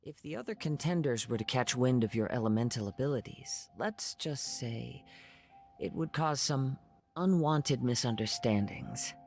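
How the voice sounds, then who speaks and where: A young wandering samurai from a video game, with a creaky edge to his voice. He speaks in a measured, formal, literary way, calm and courteous. Music plays behind him. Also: mid-range voice. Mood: calm, reflective.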